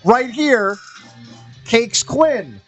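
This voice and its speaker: A man hosting a sports radio show, running the segment with energetic, joking banter. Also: very high-pitched, drawn-out words, faint music behind. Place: radio studio.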